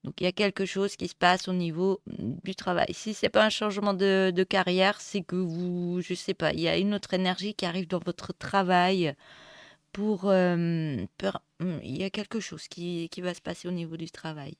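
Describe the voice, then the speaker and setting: A woman with a cold and a hoarse voice, giving a casual tarot reading with many hesitations and restarts. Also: fairly low pitch, steady tone, normal speed, dry recording. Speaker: tarot reader. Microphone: close mic. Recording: dry close mic.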